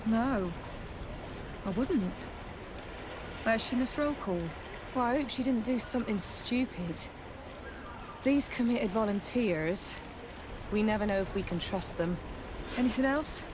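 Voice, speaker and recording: Higher-voiced, reserved sailor. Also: female voice, animated, a little slow, pauses often, background noise. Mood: guarded. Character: reserved, dutiful female sailor.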